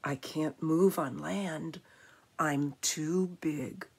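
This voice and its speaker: A woman plays a huge male whale from a picture book. The creature moans slowly and helplessly, heavy and breathy. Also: sits low, fairly even intonation, pitched below the performer's own voice, full character voice. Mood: helpless, moaning. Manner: moaning, slow.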